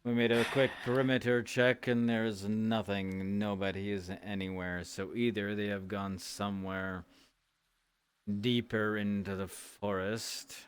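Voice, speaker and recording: A man playing a formal, stern fantasy town guard leader in an online roleplay, speaking in measured, old-fashioned phrases. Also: fairly low pitch, little pitch movement, clean tone, no echo, natural voice. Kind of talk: in-character virtual reality roleplay.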